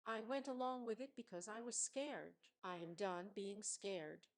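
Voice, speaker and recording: A woman's voice: mid-range voice, fairly even intonation, measured pace, close to an everyday voice. A woman narrator voicing an earnest, formal male board member standing up to a bully in a story. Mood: quiet resolve.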